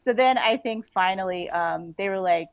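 A woman being interviewed on a casual podcast, telling stories in an animated way and acting out bits of dialogue. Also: medium pitch, moderate pace, no echo, muffled recording. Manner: animated storytelling, quotes imagined dialogue, giggly. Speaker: author, interview guest.

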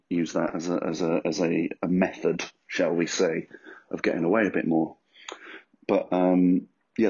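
Husky, gravelly low-voiced man. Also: darkish tone. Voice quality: husky, rough voice. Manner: crude, self-mocking humour. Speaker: podcast host. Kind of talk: rambling, jokey solo podcast chat. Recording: dull lo-fi recording.

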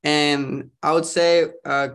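A man's voice: a little high, steady tone, slow pace, a bit bright, clean tone. A young man, chatting upbeat as a podcast guest. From Costa Rica. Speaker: guest, startup founder. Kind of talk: casual interview chat.

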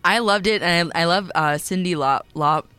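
A woman chiming in on a radio show from a production room, giggly and quick to poke fun at herself. Her tone is sharp and bright, and the sound is dry and close. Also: fairly low pitch, smooth, no echo. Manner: giggly, self-deprecating. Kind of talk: casual studio banter.

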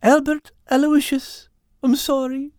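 A woman's voice: high voice, flat delivery, measured pace, a little mellow, no echo, clean studio sound, voice pushed up. A woman voicing a humble, dopey, apologetic male cat with an Irish accent, sweet and cowardly, in a storybook. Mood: sheepish. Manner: sheepish, self-deprecating.

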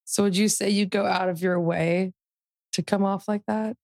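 Young woman, vocal fry, gravelly tone, rambling casual podcast guest. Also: medium pitch, fairly even intonation, normal speed, a little mellow. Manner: rambling, heavy on fillers. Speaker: podcast guest, event production worker. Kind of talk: casual chat.

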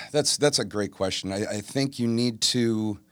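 A man in his forties with a very low, deep voice talks loosely and easily, with plenty of ums and restarts. His voice sits close to the mic with a dry sound and almost no room around it. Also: a little slow, dry recording. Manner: relaxed, rambling, many fillers. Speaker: guest, tribute singer. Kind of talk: casual interview chat. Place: studio.

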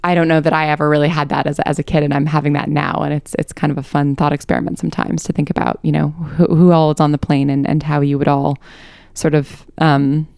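A woman with a low voice and a good deal of vocal fry. The sound is clear and very dry, and she chats casually as an interview guest. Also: level tone, quick pace, a little mellow, no room sound. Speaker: interview guest, podcast writer. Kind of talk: casual conversation.

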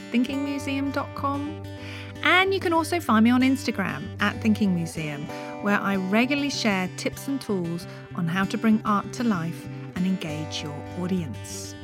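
A woman hosting a podcast, addressing her guest and listeners in a presenting style. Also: on the high side. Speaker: podcast host. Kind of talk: podcast hosting, interview and scripted outro.

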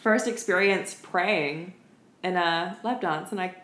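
A woman chatting casually as a podcast co-host, telling stories in an animated, slangy way and often laughing. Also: on the low side, slow. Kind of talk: casual chat, storytelling. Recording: roomy, echoey room.

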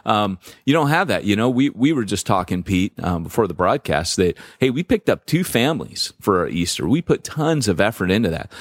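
A man co-hosting a podcast in casual banter, joking and self-deprecating, with a deep voice and lots of filler words. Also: no echo, studio quality. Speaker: podcast co-host.

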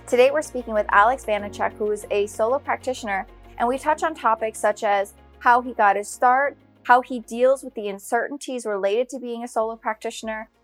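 A woman with a fairly high voice hosts a legal podcast. She delivers a polished, clear intro and then asks short interview questions, with faint music playing under her voice. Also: a little fast, few pauses. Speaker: podcast host.

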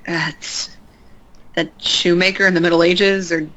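An actress playing a reserved woman scientist who gives calm, terse instructions over a radio link. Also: fairly low pitch, unhurried, lo-fi sound. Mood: guarded. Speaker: scientist guiding a spacewalk. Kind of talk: audio drama acting.

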